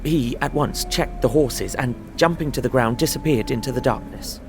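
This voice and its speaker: A man playing an anxious traveller in an audio drama, recounting a frightening journey in measured, literary, suspenseful narration. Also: medium pitch, soft background music, lightly acted. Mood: fearful wonder. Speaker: narrating lead character.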